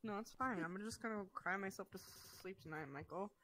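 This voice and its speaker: A woman's voice: mid-range voice, brisk, pushed and bright, slight background noise. A woman teasing and joking with other players during a drinking game in social VR. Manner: playful, teasing. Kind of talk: casual chat.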